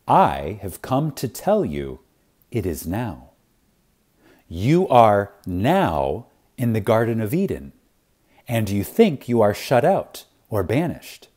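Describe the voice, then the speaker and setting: A man reading a spiritual lecture like a sermon, reverent and emphatic, with deliberate pauses for effect. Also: lowish voice, warm tone.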